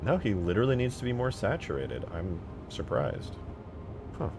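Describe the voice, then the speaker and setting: A man's voice: fairly low pitch, big pitch swings, moderate pace, noisy background. A man live streaming while he works, casually thinking aloud and muttering questions to himself. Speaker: game modding live streamer.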